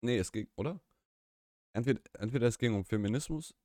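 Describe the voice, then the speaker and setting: A man's voice: mid-range voice, fast, darkish tone, dry recording, studio quality. A young man whose first language is Russian, co-hosting a podcast in a casual, rambling, opinionated way with many restarts. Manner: rambling, self-correcting, opinionated. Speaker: podcast co-host. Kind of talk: casual chat. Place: small room, live stream.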